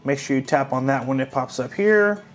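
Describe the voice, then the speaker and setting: A man narrating a gadget how-to in an easygoing, conversational voice, guiding the listener one step at a time. His pitch sits in a middle range. Soft music plays faintly underneath. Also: moderate pace. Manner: friendly, relaxed, walks viewers through each step. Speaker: tech tutorial host. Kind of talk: casual step-by-step tutorial voice-over.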